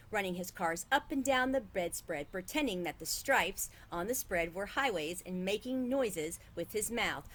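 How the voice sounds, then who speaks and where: A woman's voice: fairly low pitch, fairly bright tone. A woman reading a children's book aloud expressively as a storyteller.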